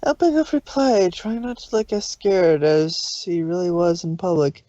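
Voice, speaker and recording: A woman narrating fan fiction as an expressive story reading. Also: on the low side, normal speed, no room sound. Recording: slightly dull home recording.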